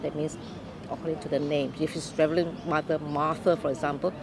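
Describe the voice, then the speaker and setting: An older woman from Malaysia telling her life story in an unhurried, explaining way during an interview. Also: low-pitched, flat delivery, background noise. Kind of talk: oral history interview.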